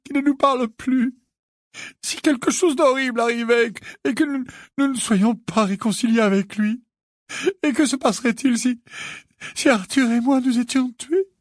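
A distraught mother in a storybook, acted out by a male narrator, sobbing and trembling with fear. The voice is very high and husky, halting and stammering as it wails, recorded dry and close. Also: dry recording. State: crying.

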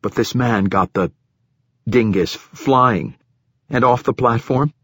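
Man playing a stern, husky priest-captain. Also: medium pitch, slow, no room sound, clean studio sound, voice pushed up, exaggerated voice. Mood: probing, sarcastic. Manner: curt, flat, sarcastic, insistent questioning. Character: stern, probing male priest-captain interrogator. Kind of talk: audiobook character dialogue.

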